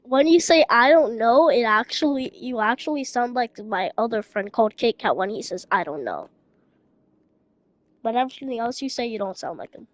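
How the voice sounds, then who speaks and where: A woman's voice: fairly high pitch, animated, moderate pace, dry recording. A young woman live-streaming, chatting casually with other players in a slangy way and repeating phrases insistently, with music behind her.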